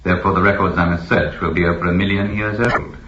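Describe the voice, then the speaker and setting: Man acting a deep, imperious archive keeper. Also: steady tone, highs cut off, noisy background, close to an everyday voice. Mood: courteous, formal. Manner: formal, measured, authoritative. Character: imperious, knowledgeable male keeper of an ancient imperial library. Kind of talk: science fiction radio drama acting. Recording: echoey, band-limited old radio recording.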